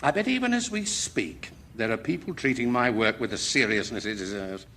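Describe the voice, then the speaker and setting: A man's voice: medium pitch, expressive, moderate pace, bright, forceful tone, slight background noise. An actor playing an earnest, idealistic and easily exasperated male professor in a radio comedy drama. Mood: hopeful.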